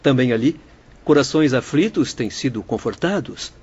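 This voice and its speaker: A man's voice: medium pitch, expressive, moderate pace, darkish tone, husky, highs cut off. A man playing an earnest, caring spirit doctor in an audio drama, explaining in a formal, didactic way.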